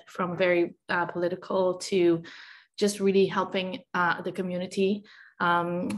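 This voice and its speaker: A woman from Portugal, introducing herself as a chapter president in an online meeting. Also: fairly low pitch. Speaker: chapter president and agency owner. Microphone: video call.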